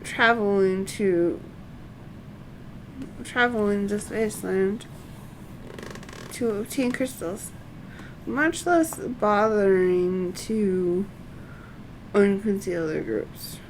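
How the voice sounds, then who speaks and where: A woman livestreaming a game, halting and a little unwell, reading quest text and commenting casually on her play. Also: medium pitch, slight background noise, poor audio. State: feeling unwell.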